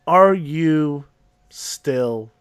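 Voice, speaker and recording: A man from New Jersey hosting a loose, casual chat show. He talks at an unhurried, slow pace, rambling through fillers and restarts and laughing often. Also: on the high side, expressive, smooth, no room sound, clean studio sound. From New Jersey, USA. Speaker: podcast host.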